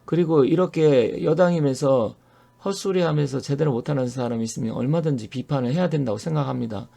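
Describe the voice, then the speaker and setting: A middle-aged man hosting his own fortune-telling channel, giving an earnest, opinionated monologue full of pauses and fillers. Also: mid-range voice, creaky, warm tone. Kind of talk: solo monologue to camera.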